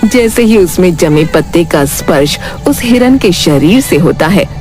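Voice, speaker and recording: A woman giving scripted, dramatic storytelling narration for an animated moral story. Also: fairly low pitch, unhurried. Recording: loud music behind the voice. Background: music.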